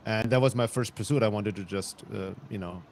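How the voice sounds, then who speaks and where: This is a man in his fifties from Germany speaking as a podcast guest. His voice sits low, and he tells his story in a reflective way, often restarting his sentences. A faint hum of outdoor traffic runs behind him. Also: brisk, a little mellow. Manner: reflective, with frequent restarts and light laughs. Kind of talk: conversational interview storytelling.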